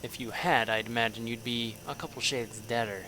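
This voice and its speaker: A man's voice: mid-range voice, steady tone, a little fast, sharp tone, slight background noise, lightly acted, rough recording. A man playing a sardonic, defiant soldier in a fan audio drama, with a dry, terse, sarcastic delivery. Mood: guarded, sarcastic. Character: sardonic, defiant male soldier, a shaman's son.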